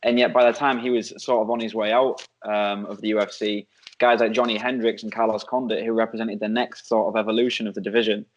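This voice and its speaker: A young man giving a fluent, measured, opinionated sports analysis as a co-host. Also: lowish voice, level tone. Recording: slightly dull lo-fi audio.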